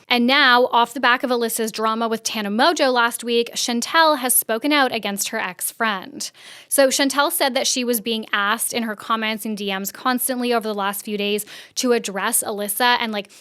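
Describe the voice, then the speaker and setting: Woman, podcast host, fairly high voice, very smooth clean tone, chatty, emphatic, casual news commentary. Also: fairly bright tone.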